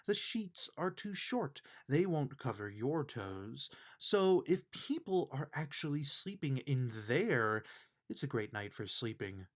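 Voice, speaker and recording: A man reading a rhyming children's bedtime book aloud in an expressive, sing-song way. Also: mid-range voice.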